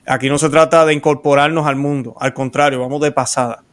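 A man's voice: medium pitch, steady tone, fast. A Puerto Rican man hosting a faith interview show, talking in a rambling way with many restarts. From Puerto Rico. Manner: warm-hearted, rambling with restarts. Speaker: host.

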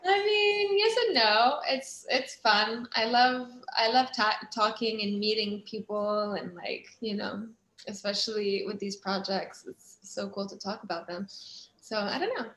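A woman's voice: mid-range voice, unhurried, a bit bright. A woman from Switzerland chatting casually as an interview guest, with an enthusiastic, giggly manner. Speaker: guest, actress and producer. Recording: roomy, echoing sound.

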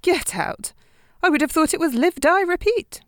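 Woman as sarcastic perky girl, lively intonation, close dry sound, audio drama. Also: very high voice, moderate pace, no room sound. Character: perky, quippy young female contestant.

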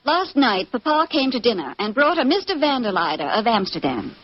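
A woman's voice: a little high, big pitch swings, a little fast, husky, no echo. A woman playing a refined, earnest society lady in a 1940s radio mystery. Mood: distressed, insistent. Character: genteel, distressed English society lady. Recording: muffled 1940s radio broadcast.

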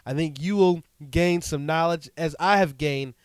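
Male podcast host and DJ, a little stuffed up, slightly high voice, smooth and dry, relaxed chatty delivery. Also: moderate pace, no room sound. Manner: relaxed and chatty, frequent "um" and "man". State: stuffed-up nose. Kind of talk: casual solo podcast talk. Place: studio.

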